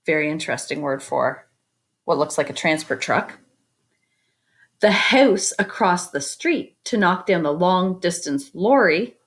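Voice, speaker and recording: A woman reading a children's picture book aloud expressively, with chatty asides to her young listeners. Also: lowish voice. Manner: expressive, pauses to comment and invite predictions. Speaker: read-aloud storyteller.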